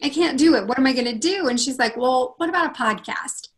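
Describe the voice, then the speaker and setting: This is a woman with a husky, slightly hoarse voice, pitched a little on the high side. She talks casually as a podcast guest and brings quoted conversations to life with sudden leaps upward in pitch. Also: a little fast. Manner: expressive, acts out quoted dialogue with big pitch jumps. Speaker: podcast guest, confidence consultant.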